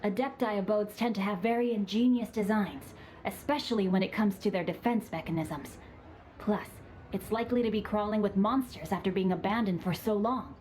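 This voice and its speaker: A woman's voice: medium pitch, brisk, darkish tone, slight background noise, close to an everyday voice. A woman voicing a refined, witty young nobleman in a game, speaking in a courteous, formal, composed manner. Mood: calm. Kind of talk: game dialogue.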